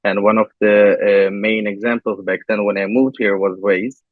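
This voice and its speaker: A man from Turkey answering interview questions in a halting way, with many pauses and fillers, over a video call. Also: lowish voice, little pitch movement, no room sound. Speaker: interview guest, software engineer. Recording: tinny phone-like line, dry.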